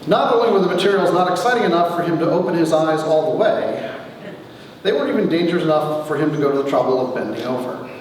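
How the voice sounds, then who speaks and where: Man, wry storyteller, lively intonation, theatrical pauses, distant and reverberant hall recording. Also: a little high. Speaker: author reading his own story. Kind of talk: dramatic reading of a humorous memoir to an audience. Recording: echoey hall from far off, faint noise.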